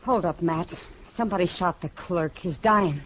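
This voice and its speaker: A woman's voice: fairly low pitch, moderate pace, natural voice. An actress playing an alarmed, urgent frontier woman in a 1950s western radio drama. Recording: muffled, noisy old radio recording.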